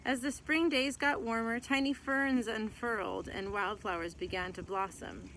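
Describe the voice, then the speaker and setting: A woman's voice: a little high, steady tone, normal speed, a bit bright, low-quality recording. A woman reading a children's picture book aloud as a teacher would, in scripted narration. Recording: clear background noise.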